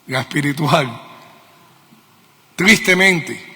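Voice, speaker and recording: A man's voice: fairly high pitch, expressive, takes it slowly, vocal fry, bright, forceful tone. A middle-aged man with a Cuban Spanish accent preaching a sermon, teaching emphatically with questions and repeated key words. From Cuba. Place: church meeting hall. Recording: long reverb of a hall.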